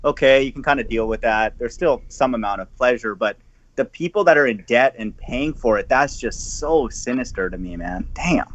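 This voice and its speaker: Bright, forceful man on a lo-fi call. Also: medium pitch, animated, moderate pace. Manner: emphatic, opinionated. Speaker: podcast panellist. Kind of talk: casual online panel chat. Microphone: online group call microphone. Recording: dull, lo-fi online call.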